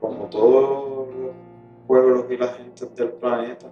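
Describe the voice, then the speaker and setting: A Puerto Rican man giving a thoughtful testimony, pausing often with fillers. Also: medium pitch, steady tone, narrow, tinny audio. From Puerto Rico. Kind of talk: reflective testimony. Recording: roomy distant mic with guitar music.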